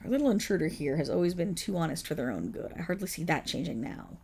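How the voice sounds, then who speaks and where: A woman playing a silky, gravelly villain boss. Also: fairly low pitch, animated, fast, a little mellow, exaggerated voice. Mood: menacing tenderness. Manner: silky, condescending, purring endearments. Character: suave, scheming villain boss, possessive and protective. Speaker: voice actor. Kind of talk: ASMR roleplay audio drama.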